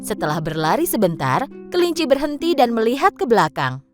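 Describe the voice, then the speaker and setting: A woman narrating a children's cartoon story in an expressive, sing-song storytelling manner. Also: on the high side, fairly quick. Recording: faint music behind. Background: faint background music.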